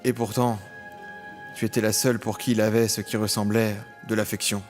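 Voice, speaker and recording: Un acteur joue un jeune homme tourmenté, ancien orphelin des rues, dans une fiction audio au ton solennel et littéraire. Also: male voice, fairly low pitch, little pitch movement, a little fast, faint music behind, close to an everyday voice. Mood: wistful, solemn. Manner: solemn, literary, nostalgic. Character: brooding young man, former street orphan, recalling childhood with emotion. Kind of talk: dramatic first-person audio drama narration.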